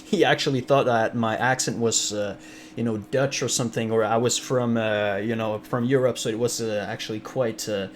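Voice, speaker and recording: A man's voice: mid-range voice, fairly even intonation, moderate pace. French Canadian man chatting on stream. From Ontario, Canada. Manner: rambling, many fillers and restarts, reads chat aloud. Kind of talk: casual live-stream chat.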